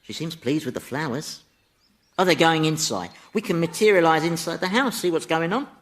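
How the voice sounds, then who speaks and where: An actor playing a sly, gleefully malicious Devil in a radio comedy, urbane and teasing, with a chuckling, mock-innocent manner. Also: male voice, a little high, lively intonation, normal speed, noisy background, close to an everyday voice. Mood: slyly goading. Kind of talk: radio sitcom acting.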